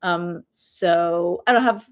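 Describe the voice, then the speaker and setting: A woman's voice: lowish voice, slow. A middle-aged woman author chatting casually about her favorite funny books, with lots of ums and self-mocking asides. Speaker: author talking to her readers. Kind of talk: casual book recommendation talk.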